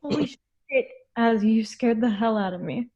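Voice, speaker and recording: Brief: woman reads blunt, withdrawn teen girl, high voice, dull lo-fi sound. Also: animated, unhurried, warm tone. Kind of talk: script table read.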